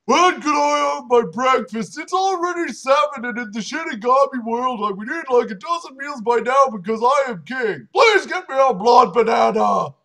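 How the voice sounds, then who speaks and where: A man voicing a bratty, demanding death-god king with a whiny, imperious shout in a comic fan-fiction reading. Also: expressive, moderate pace, vocal fry, few pauses, full character voice. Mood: petulant, demanding. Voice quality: shouty, strained high voice. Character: bratty demanding Shinigami king wanting breakfast.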